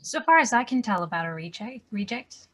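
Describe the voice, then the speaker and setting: A woman playing a calm, matter-of-fact survivor in a scripted table read. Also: on the high side, brisk, husky, exaggerated voice.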